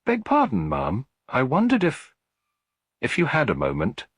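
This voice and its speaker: A man's voice: mid-range voice, wildly swooping pitch, a little slow, husky, no echo, voice pushed up, full character voice. A man voicing a timid, anxious young housemaid in an audiobook mystery, tremulous, hesitant and deferential. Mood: nervous, deferential.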